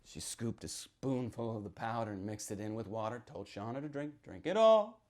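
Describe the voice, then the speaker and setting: A man giving a dramatic reading as a sinister small-town storyteller, swinging from hushed whispers to shouted outbursts. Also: fairly low pitch, moderate pace. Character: sinister small-town local man recounting a grim tale. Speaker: author performing a reading from a novel. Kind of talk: theatrical first-person horror storytelling to an audience.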